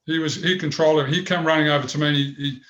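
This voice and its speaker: A man's voice: a little high, steady tone, rapid-fire, a bit bright. An older man with a big voice, telling rambling stories in an interview. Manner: rambling anecdotes with many restarts. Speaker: former goalkeeper, guest. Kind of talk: storytelling interview.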